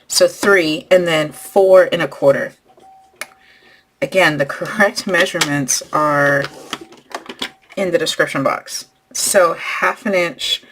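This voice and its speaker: A woman hosting a craft tutorial, losing her voice and clearing her throat as she talks through each step while working. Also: low-pitched, fairly bright tone, a little hiss or hum. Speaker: crafting channel host.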